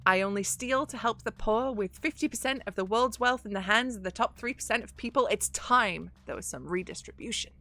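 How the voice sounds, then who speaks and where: Bright-voiced woman running a tabletop game. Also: on the high side. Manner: upbeat, quick to laugh, reading rules aloud. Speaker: game master.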